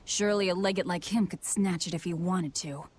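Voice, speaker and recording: Woman acting a low-voiced, sardonic fighter heroine. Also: fairly even intonation, fairly quick, a little hiss or hum, close to an everyday voice. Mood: cool, blunt. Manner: blunt, dry, sardonic. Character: cynical, hard-edged young woman fighter. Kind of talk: English video game dub acting. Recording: music under the voice.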